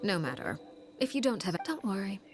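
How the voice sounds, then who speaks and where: A woman's voice: medium pitch, big pitch swings, brisk, very noisy, lightly acted. A woman playing a cool, sly, commanding authority in a video game, poised and condescending. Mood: veiled menace. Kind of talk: video game villainess acting.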